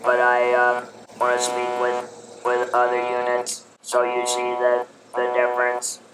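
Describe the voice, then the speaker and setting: A man speaking through an electrolarynx in a buzzing, robotic monotone, giving informal advice in halting, deliberate phrases. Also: mid-range voice. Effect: electrolarynx vibration in place of natural voice. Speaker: electrolarynx user advising new users. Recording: loud steady background noise.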